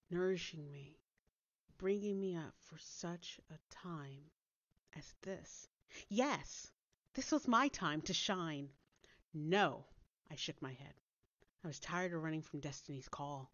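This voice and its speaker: A woman reading a story aloud as a dramatic, deliberate narrator with theatrical delivery. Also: deep voice, no echo, muffled recording. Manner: deliberate, theatrical, dropping to hushed tones. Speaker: author reading own story. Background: soft background music.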